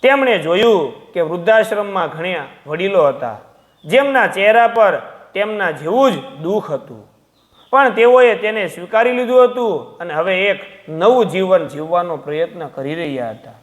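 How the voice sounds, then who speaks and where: A man's voice: high voice, animated, normal speed. A man narrating a sentimental moral story from a script, as a storyteller.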